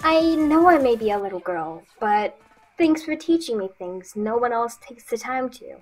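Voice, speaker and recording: A woman's voice: on the high side, lively intonation, moderate pace. A woman voicing an eager, cheeky little girl in an audio drama, with music underneath. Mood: grateful.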